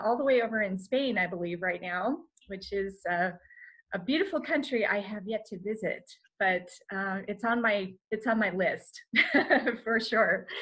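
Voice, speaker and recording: A woman's voice: medium pitch, fairly bright tone. A woman hosting a podcast interview in a friendly, affirming way. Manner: friendly, affirming, uses fillers. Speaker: podcast host, palm reading coach.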